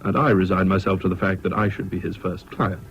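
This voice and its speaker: An actor narrating a British radio drama in the first person as a reflective, dryly ironic Englishman with a measured manner. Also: sits low, flat delivery, creaky. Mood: wry, reflective. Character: wry, reflective male friend narrating the story. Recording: dull, hissy old radio recording.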